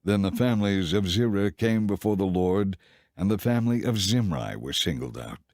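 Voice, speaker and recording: Casting: male scripture narrator, deepish voice, solemn measured storytelling, very dry studio-like sound. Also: lively intonation, a little mellow, no echo.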